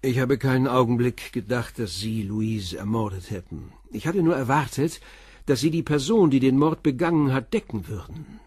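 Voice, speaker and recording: An actor playing a sober, persistent police commissioner in a German crime radio play, questioning in a matter-of-fact way. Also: male voice, medium pitch, normal speed, darkish tone, breathy edge, dry recording, clean studio sound, natural voice. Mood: measured, probing. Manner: probing, matter-of-fact, insistent.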